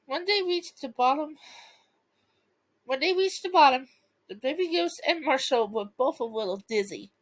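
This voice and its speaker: A woman reading a bedtime story aloud and chatting casually to viewers, with a playful, giggly, expressive manner. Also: high-pitched, moderate pace, rough recording. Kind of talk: storybook read-aloud with casual asides to fans.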